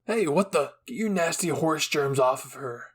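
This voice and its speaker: A man's voice: on the high side, lively intonation, moderate pace, slightly rough. A man voicing a hot-headed, impulsive, jealous teenage boy soldier in an anime fan fiction roleplay. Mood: indignant.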